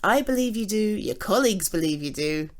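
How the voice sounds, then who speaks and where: A woman's voice: mid-range voice, lively intonation, a little fast, lightly acted. A British woman playing a supportive, affectionate girlfriend in an intimate ASMR roleplay, earnest and encouraging. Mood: earnest, insistent. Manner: encouraging, earnest, emphatic on key words. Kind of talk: ASMR roleplay, intimate one-sided conversation.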